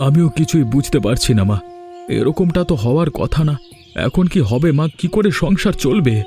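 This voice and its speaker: A man voicing an anxious young son in a cartoon, talking in a hurried way. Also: fairly high pitch, animated, dark tone. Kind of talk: Bengali cartoon voice acting. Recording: music under the voice.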